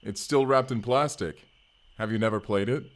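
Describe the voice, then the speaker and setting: An actor playing a hulking, earnest, gentle barbarian man in an audio roleplay, speaking formally and haltingly. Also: on the low side, lightly acted. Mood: delighted. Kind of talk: first-person audio roleplay acting.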